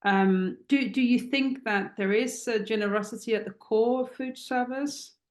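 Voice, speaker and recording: A woman's voice: a little high, steady tone, normal speed, echoey room. A woman hosting a podcast interview, asking questions with some hesitation and reading a closing outro.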